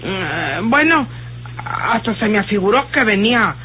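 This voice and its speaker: A woman's voice: medium pitch, sing-song, measured pace, a little hiss or hum. An actress playing a jealous, rustic woman confiding suspicions in a folksy, hesitant radio drama manner. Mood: aggrieved, confiding. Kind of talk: old radio drama acting. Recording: muffled tinny old radio recording.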